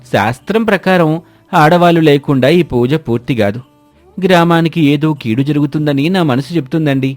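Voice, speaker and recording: A man's voice: fairly high pitch, normal speed, soft background music, lightly acted. A man playing a solemn, devout villager who gives worried warnings in a dramatised moral story.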